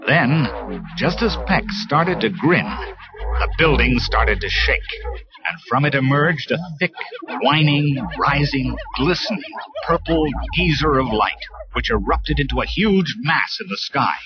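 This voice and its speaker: A man's voice: mid-range voice, expressive, a little slow, highs cut off. A man narrating a children's read-along story dramatically, from a scripted text, on a 1980s cassette. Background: music.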